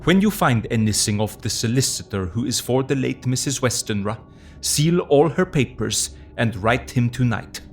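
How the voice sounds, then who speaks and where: A man's voice: mid-range voice, animated, soft background music, lightly acted. Guy doing older doctor, secretive and serious, quaint phrasing, voice swings up and down, audio drama. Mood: firm, secretive. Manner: deliberate, quaintly inverted foreign phrasing. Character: grave, learned older foreign male doctor, secretive and courteous.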